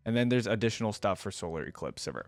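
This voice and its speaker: A man's voice: lowish voice, expressive, rapid-fire, no echo. A man giving informal, rambling reaction commentary as a video host.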